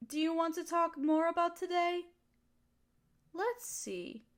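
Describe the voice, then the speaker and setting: A woman playing an affectionate, teasing, gently dominant partner who soothes and flirts in an intimate roleplay audio. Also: very high voice, steady tone, takes it slowly. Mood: caring, soothing.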